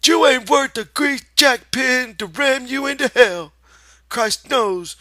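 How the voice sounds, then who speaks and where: A man's voice: extremely high pitch, bright, forceful tone, no echo, voice pushed up, full character voice. A man voicing a mean, scornful, foul-mouthed rabbit that jeers and scolds in a rural American accent. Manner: jeering, scolding.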